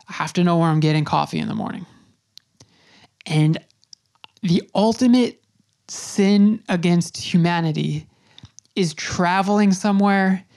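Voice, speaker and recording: A woman's voice: on the low side, a little mellow. A woman hosting a podcast, chatty and upbeat, with plenty of fillers. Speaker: podcast host. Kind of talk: casual chat and intro monologue.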